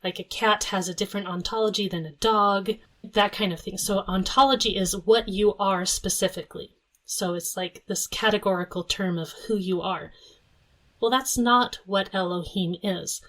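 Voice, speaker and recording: A woman's voice: medium pitch, normal speed, fairly bright tone, rough recording. A woman hosting a podcast, explaining theological terms patiently, step by step, in casual talk. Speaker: podcast host.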